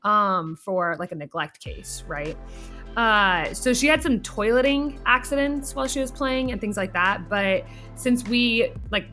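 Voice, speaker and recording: A woman with a medium-pitched voice talks through a case as a clinician. Her explanations are informal and loosely worded, in a casual conversational style, with soft music in the background. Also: lively intonation, a little slow. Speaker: behavior clinician reporting an assessment.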